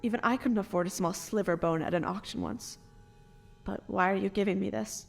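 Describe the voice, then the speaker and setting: A woman playing a creaky-voiced wronged noble. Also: medium pitch, fairly quick, soft background music, natural voice. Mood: wary, questioning. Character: wronged, grieving noble parent, reluctant future assassin. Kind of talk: dark fantasy audio drama acting.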